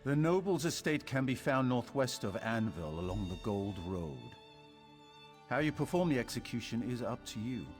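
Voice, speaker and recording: A man's voice: medium pitch, big pitch swings, moderate pace, soft background music, close to an everyday voice. An actor playing a sinister, solemn male recruiter for an assassin cult in a video game, with theatrical, silky menace. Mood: silky, commanding.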